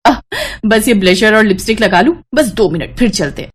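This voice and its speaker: A woman's voice: medium pitch, expressive, normal speed, slightly rough. A woman voicing a friendly, obliging young newlywed wife with a breezy manner in a cartoon story. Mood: hurried.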